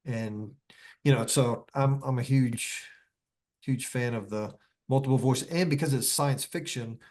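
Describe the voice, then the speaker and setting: A man speaking as a guest author in a casual conversation, halting and loosely phrased, often repeating words as he goes. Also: medium pitch, a little mellow.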